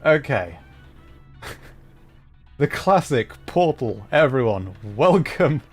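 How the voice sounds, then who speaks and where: Guy streaming a game, kinda slow talker, flustered and sorry about everything, bit of music behind him. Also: mid-range voice, big pitch swings, breathy edge. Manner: flustered, apologetic, self-deprecating. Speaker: livestream host playing a video game. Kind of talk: casual livestream chat while troubleshooting.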